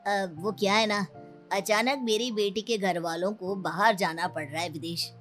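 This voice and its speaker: A woman's voice: medium pitch, steady tone, moderate pace, fairly bright tone, soft background music, close to an everyday voice. A woman voicing a calculating, haughty middle-aged in-law in a story, evasive and self-righteous.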